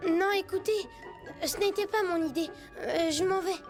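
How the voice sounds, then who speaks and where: A woman's voice: very high-pitched, normal speed. A woman voicing a timid little orphan whale in a French children's cartoon, hesitant and fearful. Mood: nervous. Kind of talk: children's cartoon dub acting. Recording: music under the voice.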